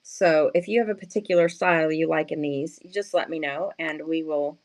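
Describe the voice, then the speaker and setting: A woman with a slightly hoarse, just-woken morning voice, chatting casually and playfully as she sells handmade crafts on a livestream, often addressing viewers and poking fun at herself. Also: low voice, brisk. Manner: self-deprecating, playful slangy wording. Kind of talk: casual live sales chat with viewers.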